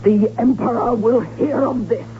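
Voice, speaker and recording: A woman's voice: on the high side, measured pace, natural voice. An actress playing an indignant, aristocratic mother in a radio drama, imperious and declamatory. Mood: outraged. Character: outraged haughty archduchess, indignant aristocratic mother. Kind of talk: old-time radio drama acting. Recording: dull old radio recording, hissy, music.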